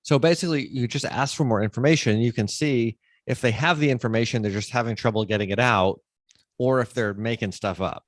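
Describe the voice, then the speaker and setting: A man's voice: mid-range voice, brisk, no room sound, studio quality. A man hosting a podcast in a conversational style, recapping and putting points plainly. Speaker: podcast host.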